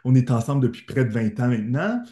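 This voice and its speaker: Un homme dans la quarantaine, originaire de l'Est ontarien, à l'ontarien, invité qui raconte son parcours avec sincérité et enthousiasme, par appel vidéo. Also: male voice, medium pitch, lively intonation, quick pace, warm tone, reverberant. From Eastern Ontario, Canada. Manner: earnest, enthusiastic storytelling. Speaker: teacher, guest. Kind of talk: interview answers. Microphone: video call.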